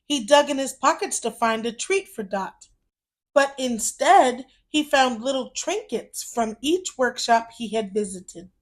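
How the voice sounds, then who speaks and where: Slow, high-voiced woman reading a storybook. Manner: expressive, deliberate storytelling for young children. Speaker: children's story reader. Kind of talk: scripted storybook read-aloud narration.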